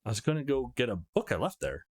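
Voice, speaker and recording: A man's voice: mid-range voice, big pitch swings, fast, vocal fry. A man voicing a brash, foul-mouthed teenage girl from a visual novel, teasing and bragging in a cheeky, crude way. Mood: boastful. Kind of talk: reading visual novel dialogue aloud.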